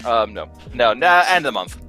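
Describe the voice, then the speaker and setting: Young adult, bright sharp voice, lively pitch, playful casual banter, faint music. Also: a little fast. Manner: jokey, playful. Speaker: cast member of a script-reading stream. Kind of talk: casual group chat.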